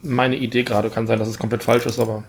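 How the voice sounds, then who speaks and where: A man's voice: mid-range voice, level tone, fast, slight background noise. A man casually giving his impressions in a discussion, repeating words as he thinks. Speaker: discussion participant.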